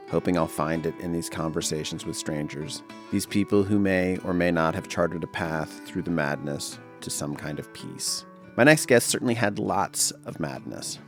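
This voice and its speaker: A man from Long Island hosting a podcast, reflective in his intro and hesitant, often stammering, in casual questions. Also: low voice. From Long Island, New York. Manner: reflective, hesitant, stammers when talking about himself. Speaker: podcast host. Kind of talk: scripted intro and casual interview.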